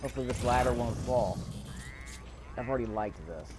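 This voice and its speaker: A man with a medium-pitched voice narrates his gameplay in a casual, unscripted way. He muses aloud and repeats himself, with faint game noise behind him. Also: brisk. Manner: musing aloud, repeats phrases. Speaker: gaming video host. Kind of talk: casual, off-the-cuff gameplay commentary. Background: faint video game ambience.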